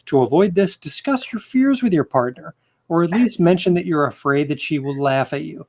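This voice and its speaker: A man on a comedy podcast reading aloud in a mock-serious, deadpan way with theatrical emphasis. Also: on the high side, moderate pace. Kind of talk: mock-serious reading aloud amid joking group chat. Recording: noticeable background noise with clicks.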